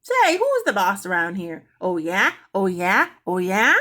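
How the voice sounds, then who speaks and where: A woman voicing a rude female pet parrot that squawks and yells repeated sassy phrases in a storybook reading. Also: wildly swooping pitch, unhurried, heavily acted. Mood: defiant, scornful. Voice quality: squawky, shrill.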